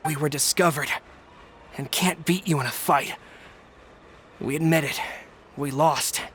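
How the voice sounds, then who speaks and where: A man's voice: fairly high pitch, measured pace, background noise, close to an everyday voice. A man voicing a bitter male thug leader seeking revenge, defiant and resentful, in a video game dub. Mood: sullen.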